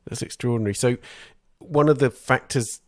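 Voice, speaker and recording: A man hosting an art podcast, asking measured, deliberate interview questions. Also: mid-range voice, dry recording. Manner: deliberate, reads a quote aloud.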